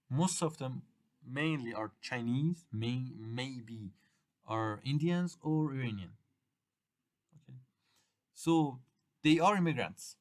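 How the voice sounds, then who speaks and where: A middle-aged man with a Persian accent teaches an exam workshop in English, his second language after Persian. His pitch sits at an ordinary middle level for a man. He stops and restarts often, repeating short phrases before he pushes on. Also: fairly bright tone. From Iran. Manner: halting, restarts and repeats phrases, uneven grammar. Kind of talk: workshop lecture to students.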